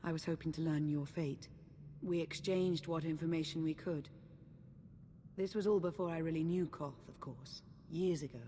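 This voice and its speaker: A woman playing a calm, guarded adviser in a video game cutscene, speaking in a measured, earnest way. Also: sits low, long reverb tail, a little hiss or hum, close to an everyday voice. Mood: calm, reflective. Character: calm, guarded, solemn female adviser and ally.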